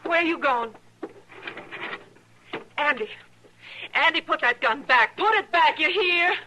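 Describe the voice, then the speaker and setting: Bright, nervous frontier wife. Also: female voice, sits high, animated, measured pace, full character voice. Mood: alarmed. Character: proper, nervous young frontier wife, defensive and loyal. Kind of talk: old-time radio Western drama acting. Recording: noisy vintage radio drama recording.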